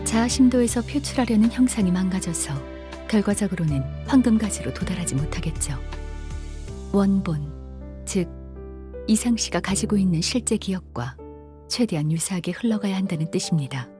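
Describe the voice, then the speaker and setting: An actress playing a calm, polite guide in a Korean game, explaining in a measured, formal way. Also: female voice, medium pitch, close to an everyday voice. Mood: calm, explanatory. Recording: music behind the voice.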